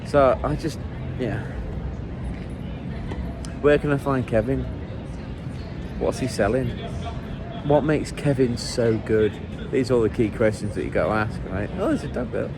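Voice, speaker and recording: Male vlogger, chatty and joking, slightly hoarse, mid-range voice, traffic rumbling behind on a handheld camera. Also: measured pace, pauses often. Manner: chatty, jokey, rambling asides. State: a bit hoarse and run down. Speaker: football travel vlogger. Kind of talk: walking travel vlog chat. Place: outdoors on city streets, walking. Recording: street traffic noise behind.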